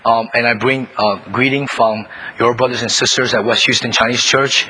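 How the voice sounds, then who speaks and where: A hoarse male guest pastor preaching a sermon in short phrases, pausing for an interpreter. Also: medium pitch, steady tone, bright, forceful tone, poor audio. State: hoarse, losing his voice. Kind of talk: sermon delivered through an interpreter.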